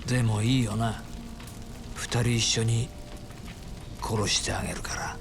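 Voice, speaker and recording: An actor playing a menacing yakuza in a video game drama, drawling and taunting as if wounded, with cold murderous intent. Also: male voice, fairly low pitch, steady tone, normal speed, vocal fry. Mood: cold menace. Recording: game audio with music and rain.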